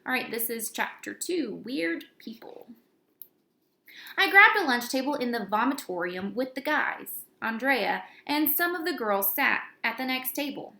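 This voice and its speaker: Bright-voiced woman reading aloud, echoey room. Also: high-pitched, sing-song, unhurried, creaky. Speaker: reader of children's books. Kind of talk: expressive read-aloud of a children's chapter book. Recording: roomy, echoey indoor recording.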